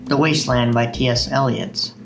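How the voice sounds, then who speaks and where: A man's voice: medium pitch, flat delivery, normal speed, faint music behind. A man hosting a video of poetry readings. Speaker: video host reading poems.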